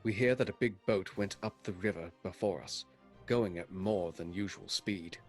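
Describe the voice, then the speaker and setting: An actor in a radio play voicing a sober, anxious Englishman who reads out his journal in a measured, grave way. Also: deep voice, darkish tone, soft background music.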